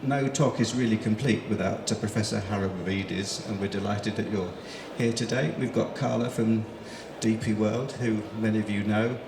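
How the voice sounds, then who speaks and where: A man's voice: on the low side, vocal fry. A middle-aged man moderating a conference panel, talking in a rambling way with lots of fillers. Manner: rambling, hesitant, many fillers. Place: large conference hall. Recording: echoey hall with background noise.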